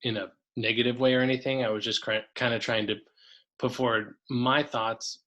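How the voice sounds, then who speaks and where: A man's voice: mid-range voice, level tone, moderate pace, pushed and bright. A man, a coach and podcast guest, talking casually and enthusiastically, often restarting his sentences.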